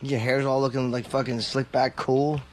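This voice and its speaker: A man's voice: mid-range voice, little pitch movement, moderate pace, slight background noise, poor audio. A man in casual comedic banter as a radio show personality.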